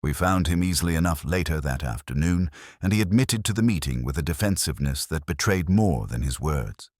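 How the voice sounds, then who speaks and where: Super deep guy reading a moody detective story, measured and brooding, voice moves around a fair bit, dry close sound. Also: expressive, darkish tone, no echo. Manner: measured, brooding, literary delivery. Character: melancholy, reflective male police detective telling his own case. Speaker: narrator. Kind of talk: first-person scripted detective story narration.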